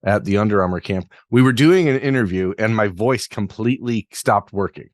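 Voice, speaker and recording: A man's voice: fairly low pitch, normal speed, no echo, studio quality. A man hosting an interview podcast, asking long, rambling questions with self-deprecating asides. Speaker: podcast host.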